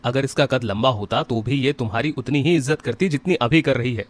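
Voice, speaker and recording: A man voicing a kind young husband in a story, reasoning in a calm, reassuring way. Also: medium pitch, brisk, natural voice.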